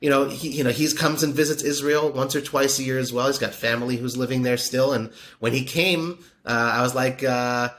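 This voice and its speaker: A man chats as an interview guest, wandering through his story with many fillers and restarts. His voice sits at an ordinary middle pitch, and he sounds admiring of his subject. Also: fairly even intonation, brisk, few pauses, fairly bright tone. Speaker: podcaster and poker media guest. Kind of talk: casual interview chat.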